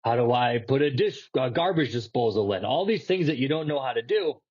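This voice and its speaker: A man's voice: mid-range voice, animated, fairly quick, a little mellow, breathy edge. Stuffy nasal guy rambling on a fitness podcast, kinda muffled lo-fi sound. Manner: loose, rambling, swears casually. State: congested from a cold. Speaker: podcast co-host. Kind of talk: casual fitness podcast chat.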